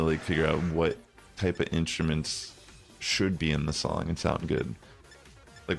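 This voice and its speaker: Streamer: young man, bassy low voice, rambling casual talk, gravelly edge, faint music under him. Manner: rambling, many fillers. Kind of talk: casual chat while gaming.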